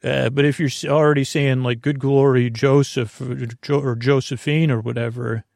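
A middle-aged man hosting a sleep podcast, talking in a meandering, goofy monologue full of asides and restarts. His voice is creaky. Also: medium pitch, level tone, moderate pace, darkish tone, dry recording, studio quality. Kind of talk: rambling bedtime monologue.

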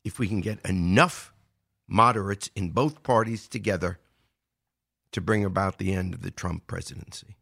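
Low-voiced man giving earnest political commentary. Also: normal speed, gravelly, a bit bright, slight background noise. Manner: earnest, emphatic, repeats key points. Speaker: show commentator. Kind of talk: political commentary before a live audience.

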